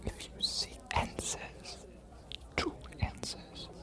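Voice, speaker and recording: A woman voicing a scholarly ancient vampire archivist in an ASMR fantasy roleplay, cryptic and inviting, hushed and deliberate with weighty pauses. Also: lightly acted. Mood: cryptic, encouraging.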